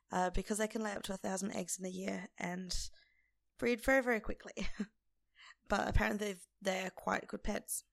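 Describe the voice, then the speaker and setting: A woman hosting a casual solo podcast in an informal, conversational way, with a croaky, hoarse voice. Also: medium pitch, no echo, studio quality. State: lingering hoarseness after laryngitis. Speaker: podcast host.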